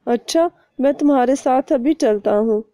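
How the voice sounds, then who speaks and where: A woman's voice: sits high, moderate pace, warm tone, no echo, close to an everyday voice. A woman voicing a humble, grateful male mouse in a cartoon story, with polite and deferential speech. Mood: helpful.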